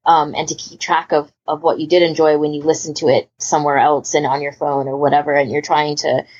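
Female podcast panelist, low-voiced, rambling and emphatic in casual conversation, on a muffled lo-fi recording. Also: flat delivery, a little fast, darkish tone, husky. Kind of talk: casual chat about a hobby.

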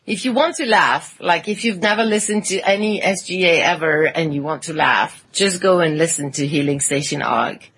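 A woman talks casually as a podcast panelist, sounding enthusiastic about what she describes. Her voice sits quite low and has a slightly husky edge. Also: moderate pace, few pauses, a bit bright, poor audio. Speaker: podcast panelist and podfic narrator. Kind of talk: casual chat about fan fiction.

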